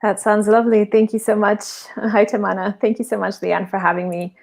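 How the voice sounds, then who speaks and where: A woman's voice: mid-range voice, little pitch movement, brisk, gravelly, darkish tone. A woman from Portugal, a guest in a remote interview, explaining her work in a thoughtful, casual way and often restarting her phrases.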